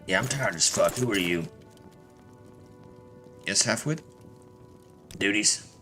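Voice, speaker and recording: A man's voice: on the low side, lively intonation, slow, pushed and bright, faint music behind. A man giving casual, sweary, irreverent commentary while streaming a video game. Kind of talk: casual live gaming commentary.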